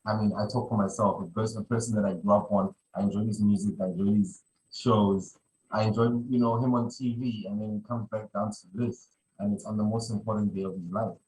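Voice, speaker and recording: A man's voice: fairly low pitch, steady tone, a little fast, a little mellow, low-quality recording. A man hosting a podcast in casual conversation, rambling and often repeating his phrases. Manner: rambling, repeats phrases, many "you know". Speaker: podcast host.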